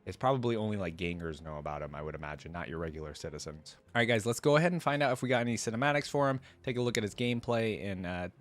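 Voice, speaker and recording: Low-voiced man, casual reaction host. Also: animated, racing, few pauses. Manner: conversational, addresses viewers directly. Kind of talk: casual reaction commentary with read-aloud story passages.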